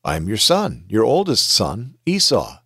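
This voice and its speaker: A man's voice: lowish voice, huge pitch swings, a little slow, a bit bright, breathy edge, no room sound, studio quality, heavily acted. A man reading aloud the words of a grieving eldest son who pleads in a scripture reading. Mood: pleading.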